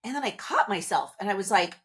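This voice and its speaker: A woman's voice: mid-range voice, animated, quick pace, a bit bright. A woman hosting a podcast, talking to listeners in a casual, upbeat and chatty motivational monologue, seated indoors. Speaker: podcast host.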